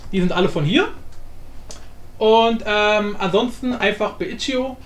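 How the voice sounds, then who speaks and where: A man giving a casual software tutorial, rambling informally in slang and thinking aloud. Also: sits high, unhurried. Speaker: tutorial host. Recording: echoey room, faint background noise.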